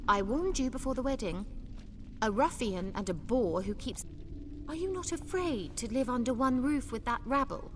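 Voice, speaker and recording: A haughty middle-aged noble mother in a fantasy game, voiced by a woman. Her prim, highish voice swings expressively and rings with long reverb over music. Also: expressive, moderate pace, background noise, natural voice. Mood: scornful. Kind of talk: dramatic video game acting.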